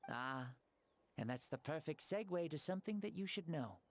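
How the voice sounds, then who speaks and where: An actor playing a genial ancient man returned from death, telling his tale gently in game cutscene dialogue. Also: fairly high pitch. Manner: gentle, lively, measured storytelling. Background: soft game music.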